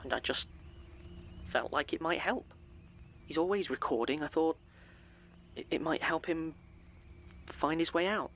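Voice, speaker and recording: A man acting an anxious, earnest office assistant in an audio drama, halting and stammering with uncertainty. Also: fairly high pitch, a bit bright, a little hiss or hum. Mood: unsure, defensive.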